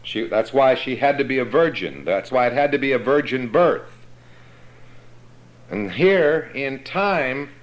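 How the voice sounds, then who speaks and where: A man teaching a Bible class in a sermon style, explaining slowly and checking that his listeners understand. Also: mid-range voice, poor audio.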